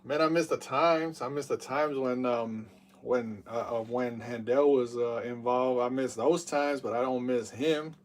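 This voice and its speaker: A man's voice: mid-range voice, fairly even intonation, a little slow, recorded from a distance, slight background noise. A man in his thirties hosting a live game stream, rambling with lots of fillers and hyped play-by-play. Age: 30s.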